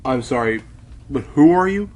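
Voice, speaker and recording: A man playing an eager, excitable young pony reporter in a radio play, speaking expressively. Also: mid-range voice, normal speed, lightly acted. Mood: indignant. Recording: roomy distant sound with faint music.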